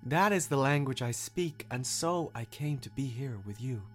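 Man as a calm, firm mystical healer creature. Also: medium pitch, moderate pace, soft background music, natural voice. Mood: calm, blunt.

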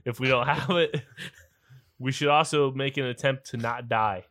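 A man's voice: mid-range voice, fairly even intonation, unhurried, a bit bright. A man playing a tabletop game who talks in a dry, matter-of-fact way. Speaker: tabletop player. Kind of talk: casual game chat.

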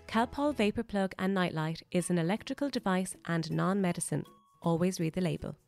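A woman co-hosting a podcast, giving the intro and reading an ad in a smooth, even way. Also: on the low side, a little fast, soft background music. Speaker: podcast co-host. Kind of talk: scripted intro and ad read.

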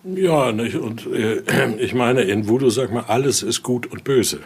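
A man giving an informal, halting explanation as a guide, often ending phrases with little questioning tags. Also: mid-range voice, a little mellow, husky. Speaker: interviewee, exhibit guide and Voodoo expert. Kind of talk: informal spoken explanation during a guided tour.

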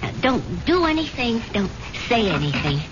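An actress in a 1970s radio drama playing a worried, soothing wife. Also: female voice, a little high, sing-song, moderate pace, very noisy. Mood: alarmed, soothing. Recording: muffled radio recording, music behind.

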